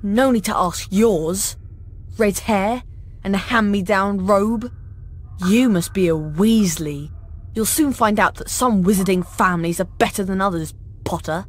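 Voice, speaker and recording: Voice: medium pitch, measured pace, lightly acted. A performer with a British accent playing a haughty schoolboy bully of about eleven in a video game, drawling and sneering. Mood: sneering, hostile. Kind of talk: video game character acting.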